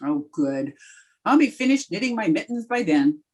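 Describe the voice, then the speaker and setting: A woman playing a wry, quick-witted schoolteacher in a 1950s-style radio comedy, with a dry, deadpan delivery and a slightly husky voice. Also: mid-range voice.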